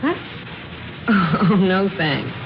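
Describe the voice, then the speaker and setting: A woman's voice: fairly low pitch, lively intonation, extremely slow, exaggerated voice. An actress playing a polite, proud, guarded young woman out of work, in 1940s radio drama style. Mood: polite, hesitant. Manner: polite, guarded, gently wry. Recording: muffled, noisy old radio recording.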